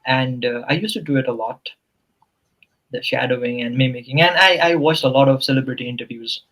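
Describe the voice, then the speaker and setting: A man's voice: mid-range voice, a little fast, fairly bright tone, rough recording. A man whose first language is Nepali, talking as a guest English tutor in a casual interview. He explains things earnestly and often checks in with "you know" and "right?".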